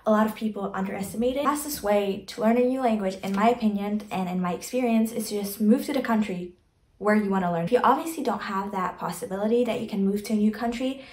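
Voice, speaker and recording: A woman's voice: mid-range voice, fast, a little mellow. A young woman talks to the camera from her bedroom in an earnest, chatty way, leaning on reassuring phrases. Her voice sits in the middle of the range for a woman. The room adds a noticeable echo. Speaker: vlogger. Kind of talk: casual advice to camera. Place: bedroom, indoors. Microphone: camera in front, medium framing.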